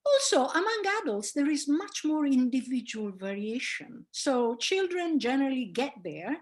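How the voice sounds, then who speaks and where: Middle-aged lady lecturing, fairly high voice, lots of uh's and restarts. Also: big pitch swings, moderate pace. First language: Italian. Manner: explanatory, with frequent fillers and restarts. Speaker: linguistics professor. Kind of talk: academic public lecture.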